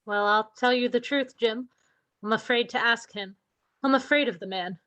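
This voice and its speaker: Poor widowed mother, female actor for radio drama: plaintive, fretful, slightly high voice. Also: moderate pace, a bit bright, natural voice. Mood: plaintive, fearful. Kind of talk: radio play acting.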